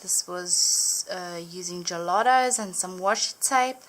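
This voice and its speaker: A young woman whose first language is Afrikaans, chatting casually as she flips through her journaled Bible, full of little fillers and gentle enthusiasm. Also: fairly low pitch, slow pace, noisy background. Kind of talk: casual show-and-tell narration. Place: indoors at a table, hands over an open book.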